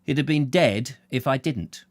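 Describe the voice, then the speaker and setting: A man voicing a defensive young male medical student, halting at first, then emphatic. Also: mid-range voice, no room sound, close to an everyday voice.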